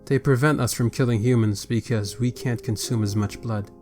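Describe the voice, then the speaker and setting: A man's voice: medium pitch, steady tone, moderate pace, a little mellow, soft background music, natural voice. A man playing a stern vampire father and leader in a scripted roleplay, speaking formally and with authority. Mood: grave, measured.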